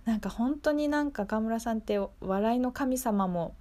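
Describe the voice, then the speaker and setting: A woman's voice: on the high side, moderate pace, warm tone. A woman hosting a local radio show in a casual, chatty, conversational way.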